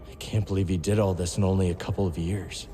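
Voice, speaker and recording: A man's voice: sits low, level tone, fast talker, dark tone. An actor playing an earnest, guarded young man, a Jedi fighter in a video game, speaking in an understated way. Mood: curious, relaxed. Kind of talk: cinematic video game acting. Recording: long reverb, faint music behind.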